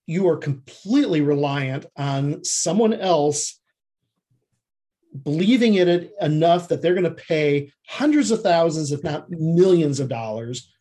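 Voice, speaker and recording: Male podcast guest with a fairly high voice, chatty and conversational, an animated storyteller. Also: a little slow. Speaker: screenwriter and producer, podcast guest. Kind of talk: casual interview talk.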